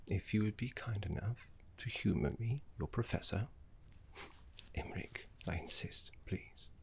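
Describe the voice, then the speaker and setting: A man playing a genteel, fussy, courteous professor of necromancy in an ASMR roleplay, speaking in a soft, breathy, formal way with dramatic pauses. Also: low-pitched, exaggerated voice. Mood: soothing, encouraging.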